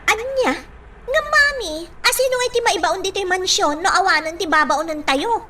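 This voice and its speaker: A woman's voice: very high-pitched, moderate pace, pushed and bright. A woman playing an imperious, petulant young lady of a mansion in an Ilocano radio drama. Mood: indignant, bossy. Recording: clearly noisy ambience behind the voice.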